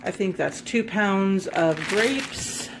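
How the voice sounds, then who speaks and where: A woman's voice: mid-range voice, takes it slowly, noisy background. A woman vlogger with a stuffed-up head cold, chatting casually at home through a grocery haul and often correcting herself with ums. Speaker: homesteading vlogger.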